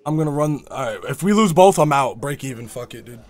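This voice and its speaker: A young man chatting casually on a livestream, excitable and slangy, swearing freely. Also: mid-range voice, fast. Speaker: gambling livestreamer. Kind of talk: casual livestream chat and reaction. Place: indoor room at a streaming setup.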